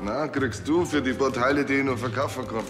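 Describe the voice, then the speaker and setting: An actor playing a cheeky, shrewd workshop mechanic with a casual manner in a TV crime comedy. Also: male voice, medium pitch, a little fast, close to an everyday voice. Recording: echoey, reverberant room with faint music.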